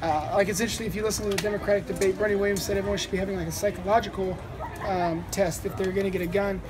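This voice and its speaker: Casual interviewee, rapid delivery, medium pitch, conversational and hedging. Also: fairly even intonation. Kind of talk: casual street interview answer.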